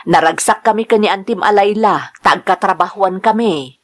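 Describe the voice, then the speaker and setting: A woman's voice: medium pitch, moderate pace, close to an everyday voice. A woman acting an earnest, trusting mother. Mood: reassuring. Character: devoted, trusting mother in love, working away from home. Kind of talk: Ilocano radio drama acting.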